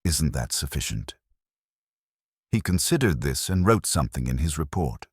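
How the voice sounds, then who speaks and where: A man's voice: rumbling low, big pitch swings, moderate pace, darkish tone, slightly rough, dry recording, studio quality, full character voice. A man voicing a hollow, faithless warrior nun, telling her grim life as scripted first-person story narration in detached, solemn, clipped sentences. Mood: bleak, detached.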